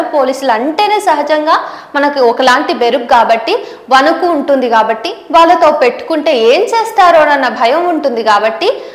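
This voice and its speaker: A woman journalist, presenting a scripted news explainer directly to viewers. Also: high-pitched. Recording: roomy, echoing voice recording.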